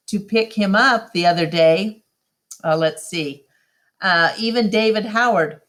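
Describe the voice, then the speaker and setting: A woman reading a children's novel aloud in a deliberate storytime narration. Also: medium pitch, fairly bright tone.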